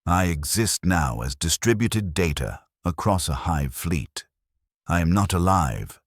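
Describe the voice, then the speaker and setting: An extremely low-voiced man narrates dark science fiction in the first person. His delivery is solemn and deliberate, unhurried, yet it rises and falls noticeably from phrase to phrase. The recording is dry and close. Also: no echo. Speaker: story narrator. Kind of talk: scripted first-person sci-fi horror narration.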